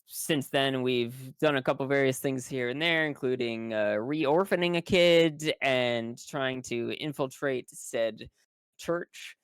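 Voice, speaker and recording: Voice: medium pitch, takes it slowly, clean tone, no room sound. Casual, sweary storyteller with a dry, close sound. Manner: swears freely, wry and colloquial. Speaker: tabletop role-playing game player. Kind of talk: casual, rambling spoken story recap.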